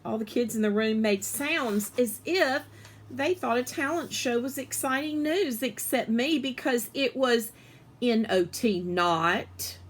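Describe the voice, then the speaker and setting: A woman reading a children's story aloud in an animated, expressive way, with emphasis on the dialogue. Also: fairly high pitch, takes it slowly.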